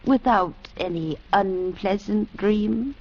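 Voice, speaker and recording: A woman's voice: mid-range voice, slow. An actress playing a mysterious, ominously gracious mother in an old-time radio drama, speaking formally with veiled menace. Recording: muffled, hissy old radio recording.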